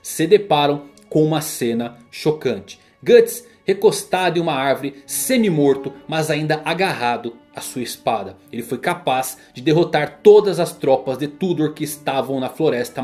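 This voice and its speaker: A man's voice: mid-range voice, a little mellow. A man with a Brazilian accent giving a scripted, solemn and theatrical narration of a story. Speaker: YouTube anime and manga narrator. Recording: music under the voice. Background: background music.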